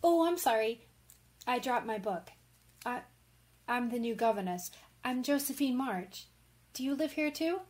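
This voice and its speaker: Casting: actress as earnest, warm-hearted young female writer, slightly high voice, period radio drama. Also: animated, a little slow, pauses often. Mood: bright, eager. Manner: eager, earnest. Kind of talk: old-fashioned radio drama acting.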